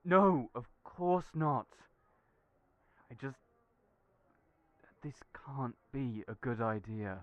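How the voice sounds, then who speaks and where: A man's voice: medium pitch, lively intonation, drawn-out words, long pauses, no echo. A man playing Julian, a kind but anxious young man who doubts himself, talking hesitantly. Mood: worried, defensive. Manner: hesitant, worried, self-deprecating. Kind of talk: audio roleplay acting. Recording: tinny phone-like audio.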